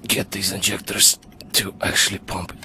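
Male home mechanic, low register, casual running commentary over a noisy outdoor camera recording. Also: completely flat delivery, normal speed, pushed and bright. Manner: talks to himself while working, short muttered remarks. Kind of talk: hands-on repair walkthrough. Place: outdoors at a car's engine bay.

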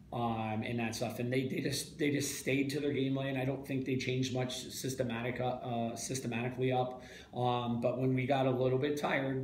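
A middle-aged man, a head coach, giving a halting postgame interview full of restarts and fillers. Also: medium pitch. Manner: halting, many repeated words and fillers. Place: small press room, indoors. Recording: echoey room, faint background hiss.

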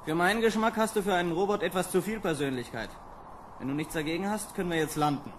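A man's voice: high voice, quick pace, noisy background, lightly acted. A man playing an exasperated, sardonic space lieutenant who bosses his crew in an old children's radio play. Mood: wry. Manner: sardonic, bossy. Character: exasperated young space lieutenant commanding a landing boat.

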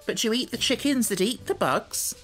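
A woman voicing a brisk, self-assured talking hen in a storybook, with a matter-of-fact, argumentative manner. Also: medium pitch, very animated, faint music behind, voice pushed up. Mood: puzzled, argumentative. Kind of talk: storybook character voice.

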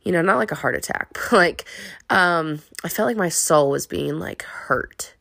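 A young woman with a slightly low voice talks alone into a close mic for her podcast, sniffly from a cold. She rambles openly and confessionally, full of likes and ums. The sound is dry, with no room around her. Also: animated, moderate pace, gravelly, fairly bright tone, dry recording. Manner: rambling, open and confessional, many fillers. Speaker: podcast host. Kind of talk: casual solo podcast monologue.

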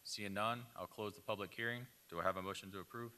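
A man's voice: on the low side, fairly even intonation, fast, bright, forceful tone. A man chairing a city council meeting as mayor, running motions and public hearings in routine procedural phrasing. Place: council chamber. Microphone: desk microphone.

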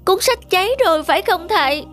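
A woman's voice: extremely high pitch, lively intonation, a little fast, a bit bright. A woman playing an eager, naive young monkey apprentice in a children's cartoon, with a childlike, earnest manner. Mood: apologetic. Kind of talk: dubbed children's moral cartoon. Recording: music behind the dialogue.

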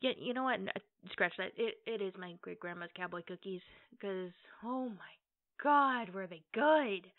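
A woman playing an affectionate, playful girlfriend in a casual, conversational ASMR roleplay, with hesitant pauses. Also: mid-range voice, dry recording, natural voice. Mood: nostalgic, enthusiastic. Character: affectionate, playful girlfriend baking cookies at home. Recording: tinny, phone-like band-limited audio.

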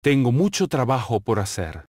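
A man reading short phrases for a language lesson, saying each one slowly and clearly and then repeating it. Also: medium pitch, very animated, darkish tone, dry recording. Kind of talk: slow, carefully enunciated drill reading. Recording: dry, close studio voice-over.

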